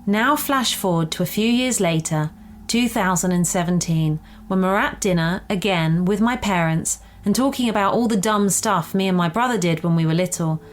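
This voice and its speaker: A woman with a medium-pitched voice reads a first-person account as scripted narration. She sounds conversational, and there is a faint noise in the recording. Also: normal speed. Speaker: story reader.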